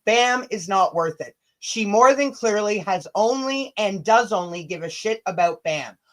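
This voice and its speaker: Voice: medium pitch, fairly bright tone. Sweary, blunt livestreamer. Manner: stumbles and rereads when reading aloud. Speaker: livestream host. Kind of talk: casual livestream chat and reading emails aloud.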